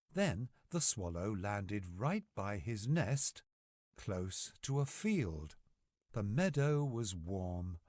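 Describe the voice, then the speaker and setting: Deep, slow male storyteller with lively intonation. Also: no echo, studio quality. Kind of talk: scripted bedtime story narration for children.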